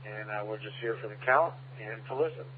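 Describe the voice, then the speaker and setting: A man's voice: fairly low pitch, fairly quick. A man checking in to an amateur radio net in a brief procedural style. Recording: very noisy, humming, phone-like radio link.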